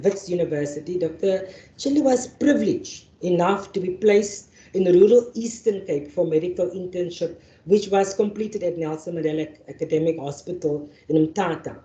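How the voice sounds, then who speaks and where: Woman, Afrikaans mother tongue, lowish voice, mellow tone, reads formal remarks with restarts, roomy hall. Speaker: panel facilitator. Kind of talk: formal speech and speaker introductions. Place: university lecture venue. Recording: echoey hall, dull sound.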